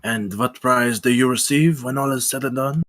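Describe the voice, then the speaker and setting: A man's voice: medium pitch, moderate pace, fairly bright tone, dry recording, clean studio sound, natural voice. A man playing a stern, stoic count in a dramatic screenplay table read, terse and commanding. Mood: wary.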